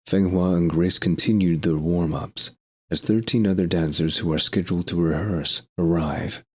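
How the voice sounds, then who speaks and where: A man's voice: deep voice, normal speed, breathy edge. A man reading scripted narration for an audio drama.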